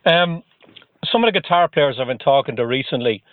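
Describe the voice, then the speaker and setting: An Irish man interviewing a guest, asking polite questions with halting fillers. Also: medium pitch, lively intonation, no echo. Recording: tinny phone-like line.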